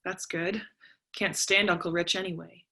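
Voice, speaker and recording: Woman playing a bright-voiced, wounded American teenage daughter. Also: on the low side, fairly even intonation, moderate pace. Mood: sullen. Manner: emotional, argumentative. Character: grieving, defiant eighteen-year-old American daughter, sarcastic and wounded. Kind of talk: staged play reading.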